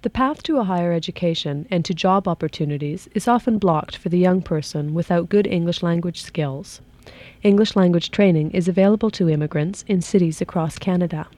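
Steady, mellow-voiced woman reporter. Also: fairly low pitch, fairly quick, no echo. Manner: steady, informative read. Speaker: program host and reporter. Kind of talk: scripted radio documentary narration.

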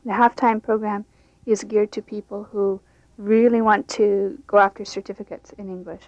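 Woman language instructor, down-to-earth and explanatory, flat delivery, dull lo-fi sound. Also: medium pitch, normal speed, no room sound. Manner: plain-spoken, explaining with false starts. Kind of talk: interview answers, unscripted. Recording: dull lo-fi radio recording.

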